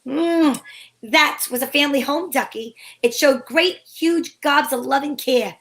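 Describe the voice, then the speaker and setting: A breezy, flirtatious lady detective acted by a woman with a high voice. She wisecracks archly in the style of a 1940s radio mystery, moving between narration and banter. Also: unhurried, fairly bright tone. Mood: breezy, suspicious. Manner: arch, wisecracking, endearments. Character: sassy female private eye, flirtatious and breezy.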